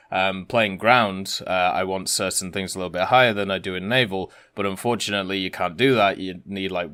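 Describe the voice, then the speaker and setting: A man's voice: low voice, a bit bright. A man giving casual, rambling commentary as the host of a gaming video.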